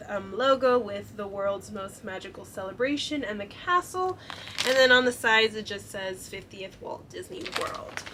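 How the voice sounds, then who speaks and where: A woman's voice: mid-range voice, noisy background. A young woman chatting casually to camera, her voice stuffy and congested from a cold, filling her talk with ums and likes. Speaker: vlogger showing a shopping haul.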